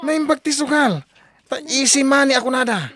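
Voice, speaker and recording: A woman's voice: sits high, lively intonation, normal speed, a bit bright, faint music behind. A woman voicing a reckless, boastful middle-aged husband who gambles, in an audio drama. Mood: bragging. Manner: boastful, brushes off worries.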